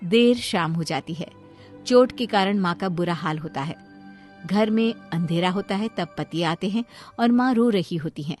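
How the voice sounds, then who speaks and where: A woman's voice: fairly low pitch, moderate pace, darkish tone, soft background music. A woman narrating a scripted Hindi cartoon moral story as its storyteller.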